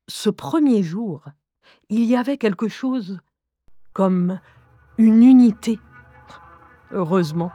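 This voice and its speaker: Actress as anxious wry woman reliving schooldays, intimate audio drama, unhurried short phrases, medium pitch, music behind. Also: darkish tone, dry recording. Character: Rosette, anxious wry woman reliving being an eleven-year-old schoolgirl. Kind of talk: scripted first-person audio drama narration.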